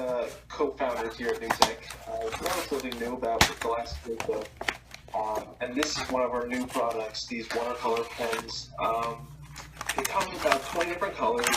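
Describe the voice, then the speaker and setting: A man from New Jersey, a company co-founder, explaining a product hesitantly with many pauses. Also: mid-range voice, background noise. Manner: hesitant, many ums and uhs. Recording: echoey, distant from the mic.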